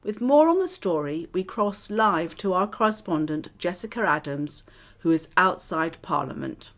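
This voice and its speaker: A woman plays a TV news anchor in a radio drama. Her voice is a bit low, composed and formal. She reads in a measured way. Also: lively intonation, a bit bright. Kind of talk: newsreading within radio drama.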